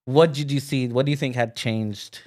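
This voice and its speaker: A man's voice: medium pitch, fairly even intonation, normal speed, a little mellow. A man from India hosting a podcast, chatting in an easygoing, friendly way with fillers and laughs along the way. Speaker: podcast host. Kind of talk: casual interview chat.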